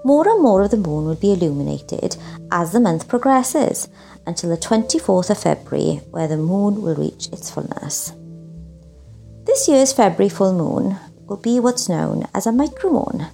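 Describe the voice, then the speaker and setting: A woman's voice: medium pitch, big pitch swings, moderate pace, vocal fry, mellow, soft background music. A woman presenting a literary showcase, introducing pieces and reading her own reflective writing in a scripted style.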